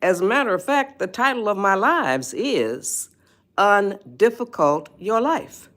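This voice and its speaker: Chatty eighty-one-year-old woman, unhurried. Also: mid-range voice, lively intonation, fairly bright tone. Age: 80s. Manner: chatty, rambling, laughs often, greets viewers. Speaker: livestream host. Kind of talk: casual live-stream chat.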